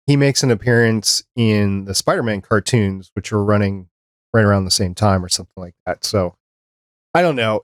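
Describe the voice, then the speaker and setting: A man's voice: mid-range voice, dry recording, studio quality. A man hosting a podcast, chatting casually through a review and putting questions to the others. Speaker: podcast host. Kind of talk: casual review discussion of a cartoon.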